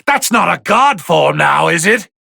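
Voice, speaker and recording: An actor playing a haughty, bored, easily irritated god of destruction who gives pompous, theatrical commentary in a fighting video game, with a gravelly voice. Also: male voice, high voice, big pitch swings, measured pace, sharp tone, no room sound, studio quality, heavily acted. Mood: bored disdain. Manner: pompous, theatrical, drawling disdain.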